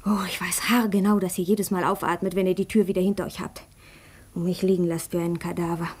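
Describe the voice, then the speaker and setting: Radio drama role: young invalid woman, proud and embittered, husky, agitated and accusing. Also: on the low side, little pitch movement, quick pace. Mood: bitter, anguished. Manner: agitated, accusing, sharp shifts to hushed intensity. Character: bitter, proud, sickly young woman on crutches. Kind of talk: dramatic German radio play acting.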